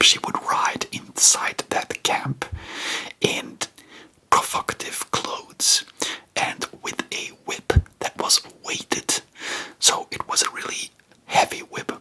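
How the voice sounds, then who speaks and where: A person whispering in an informal, rambling ASMR style, breathy and unvoiced, chatting about history close to the microphone. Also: bright, forceful tone, poor audio. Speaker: host of a history ASMR channel.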